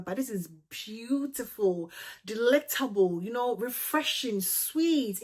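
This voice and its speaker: A woman's voice: mid-range voice, a little mellow. A woman with a Ghanaian accent hosting her own fragrance channel, chatty and gushing, peppered with "you know" and "um". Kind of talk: casual fragrance review to camera.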